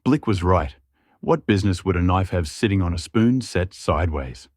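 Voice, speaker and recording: Man playing a low, wary, crooked schemer. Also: lively intonation, moderate pace, darkish tone, no room sound, clean studio sound. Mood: suspicious. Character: tall crooked man, wary, shrewd, grave confidant.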